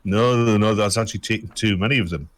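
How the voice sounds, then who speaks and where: A man's voice: lowish voice, fast. A man co-hosting a casual stream, adding crude jokes to the banter and chuckling at them. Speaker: co-host.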